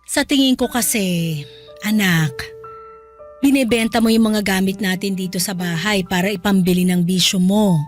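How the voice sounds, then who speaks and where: A woman's voice: mid-range voice, moderate pace, soft background music, lightly acted. A woman playing a worried, exasperated middle-aged mother in a Filipino radio drama, emotive and pleading. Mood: earnest concern.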